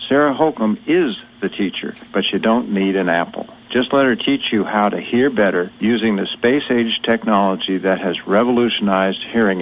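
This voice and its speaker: A man with a lowish voice tells a folksy, anecdotal story in a local radio commercial. The sound is thin and tinny, like a phone line. A faint hiss sits underneath.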